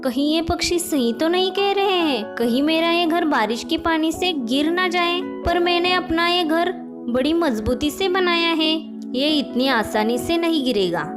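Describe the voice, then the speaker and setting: A woman voicing a small, earnest, plaintive female bird in a moral-story cartoon, with slow, emotive delivery. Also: very high voice, animated, over music. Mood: worried, resolute.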